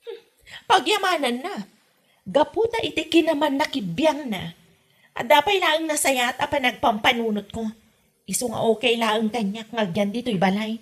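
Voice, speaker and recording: Woman acting a high, husky stingy mother-in-law. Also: animated, normal speed. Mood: sighing, put-upon. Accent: Ilocano. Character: stingy, meddling mother-in-law, bossy Ilocano matriarch. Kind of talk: comedy audio drama acting.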